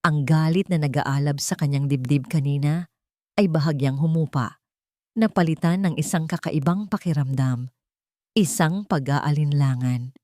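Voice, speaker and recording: A woman's voice: low-pitched, moderate pace, dark tone, dry recording, studio quality. A woman with a Filipino accent narrating a scripted dramatic story.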